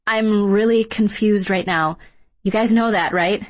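A woman's voice: mid-range voice, steady tone, a little slow, lightly acted. A woman voicing a confused, indignant teenage girl in a storybook, blunt and questioning in casual modern speech.

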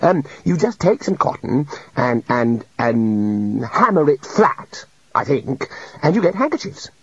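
A man's voice: medium pitch, sing-song, a little slow, husky, no room sound, full character voice. An earnest young wizard stumbling through awkward explanations, full of restarts, as a male narrator puts him on. The sound is dull and dry. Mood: hesitant. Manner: hesitant, explanatory.

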